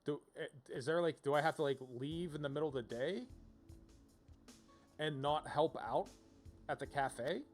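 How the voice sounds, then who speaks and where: A man's voice: a little high, moderate pace, pauses often, soft background music. A man giving casual livestream game commentary, rambling as he thinks aloud. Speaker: game streamer.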